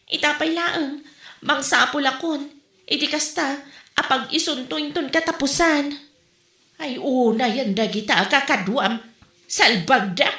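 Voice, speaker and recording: A woman acting lines in a comedic audio drama, in an animated, teasing manner. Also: sits high, unhurried, reverberant.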